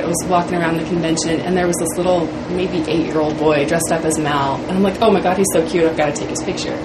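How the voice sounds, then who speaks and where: A woman being interviewed, casually telling an anecdote and acting out what people said. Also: on the low side. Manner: acts out quoted speech. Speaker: film cast or crew interviewee. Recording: echoey room, loud background noise.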